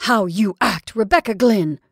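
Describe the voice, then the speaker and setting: A woman voicing a rigid, sharp-tongued woman in a story, curt and indignant, holding herself in control. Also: mid-range voice, unhurried, no room sound, clean studio sound, natural voice. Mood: furious.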